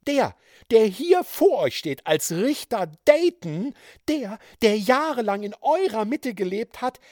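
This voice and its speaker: A man voicing a wild, vengeful woman who lashes out in scornful, high-pitched outbursts in an audiobook reading. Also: big pitch swings, takes it slowly, a little mellow, dry recording, studio quality, full character voice. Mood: raging. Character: wild, vengeful woman, mocking and half-mad.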